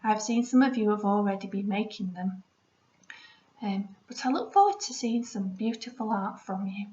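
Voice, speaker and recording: A woman primary school teacher talking to young children in an encouraging, expressive storytelling style. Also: medium pitch, moderate pace. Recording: roomy, slightly dull home recording.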